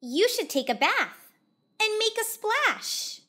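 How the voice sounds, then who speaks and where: A woman's voice: extremely high pitch, sing-song, slow pace, pushed and bright, voice pushed up, exaggerated voice. A woman voicing cheery, nosy jungle animals who urge advice in a children's storybook. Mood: cheerful urging. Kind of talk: children's storybook read-aloud.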